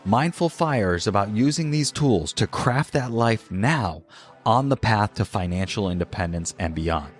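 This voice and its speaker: A man with a fairly low voice hosts a podcast. He sounds earnest and enthusiastic. Faint music plays in the background. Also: lively intonation, measured pace. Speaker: podcast host. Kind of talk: scripted intro then interview.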